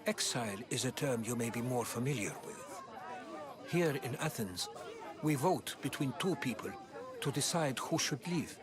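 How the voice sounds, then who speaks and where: A man's voice: mid-range voice, animated, moderate pace, a crowd in the background, lightly acted. A man playing an earnest, cautious adviser in a video game, explaining things carefully. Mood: cautious, advising. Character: Herodotus, earnest, cautious, advising male companion. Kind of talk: video game cutscene acting.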